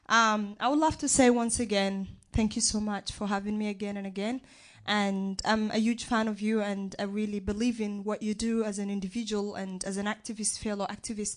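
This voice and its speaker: A young woman from Somalia speaks with a Somali accent as a guest activist on a live stage show. She keeps a joking rapport with the audience, in a voice of middling pitch. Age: young adult. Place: live art venue with audience.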